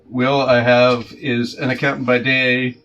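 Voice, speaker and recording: A man's voice: medium pitch, level tone, measured pace, fairly bright tone, highs cut off. A man running a tabletop game, casually asking his players about their characters. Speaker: game master. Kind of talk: casual session-zero chat.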